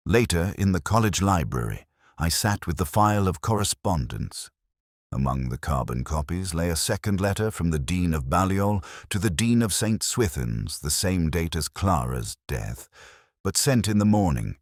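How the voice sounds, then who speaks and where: A man reading a scripted first-person detective story in a measured, brooding, reflective way. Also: deep voice, very animated, dry recording, clean studio sound. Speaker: narrator.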